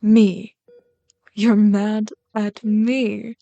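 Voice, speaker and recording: A woman's voice: mid-range voice, level tone, very slow, vocal fry, no echo, highs cut off. A woman playing a bitter but caring villain queen in an ASMR roleplay, scolding and sardonic, often exasperated. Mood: stern, urgent. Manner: scolding, sardonic, exasperated, dropping to hushed asides. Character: bitter, caring female villain rat queen tending a captive sidekick. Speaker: voice actor in an audio roleplay.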